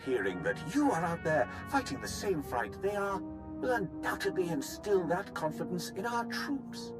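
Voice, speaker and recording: An actor playing an obsequious, grandiose imperial propaganda lord in a video game, with formal, flowery delivery. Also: male voice, sits high, big pitch swings, normal speed. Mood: flattering. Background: loud game music.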